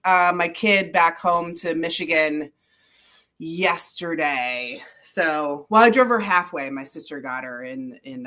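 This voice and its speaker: A woman's voice: lowish voice, pushed and bright. A middle-aged woman with a head cold, sounding congested as she co-hosts a running podcast in easygoing, good-humored banter. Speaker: podcast co-host. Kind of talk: casual chat.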